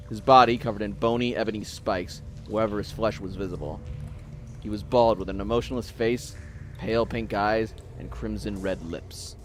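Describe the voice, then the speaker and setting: A man narrating scripted fiction as a dramatic storyteller. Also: fairly low pitch.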